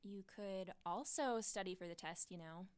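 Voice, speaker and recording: A woman's voice: mid-range voice, moderate pace, clean tone, lightly acted. An actress playing an exasperated, sardonic teenage schoolgirl in an audio drama. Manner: dry, sardonic.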